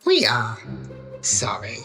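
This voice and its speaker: Woman as a deep-voiced, solemn celestial being. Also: huge pitch swings, slow, pushed and bright, soft background music, heavily acted. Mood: knowing gravity. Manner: formal, pronouncing, theatrical. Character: solemn timeless celestial being, all-seeing and sternly righteous. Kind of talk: fantasy audio drama acting.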